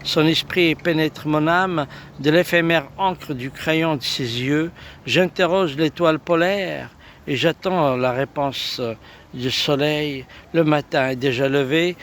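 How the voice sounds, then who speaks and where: A man reciting love poetry in a solemn, lyrical way, in short halting phrases. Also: fairly high pitch, a bit bright, a little hiss or hum. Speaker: poet reciting own poems.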